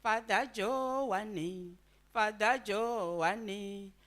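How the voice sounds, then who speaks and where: A woman visiting a church, speaking and leading call-and-response singing in slow, drawn-out phrases. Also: medium pitch, a bit bright.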